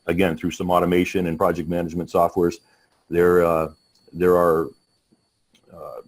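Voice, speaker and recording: A man with a very low voice gives a business webinar presentation. He speaks haltingly, stopping and restarting his sentences and filling the gaps with "uh." He sounds like a panelist on a call. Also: a little mellow. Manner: halting, many restarts and fillers.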